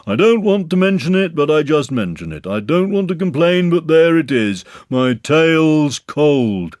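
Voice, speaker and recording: A man voicing a gloomy, self-pitying old male donkey who complains slowly and mournfully. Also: on the high side, sing-song, a little mellow, dry recording, clean studio sound, voice pushed up. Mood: glum complaining.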